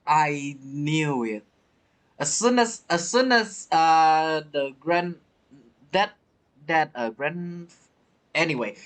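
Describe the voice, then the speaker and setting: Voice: mid-range voice, a bit bright, poor audio. A streamer giving casual, dramatic gameplay commentary, reacting to the story and often repeating phrases. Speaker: streamer playing a game. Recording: game music behind.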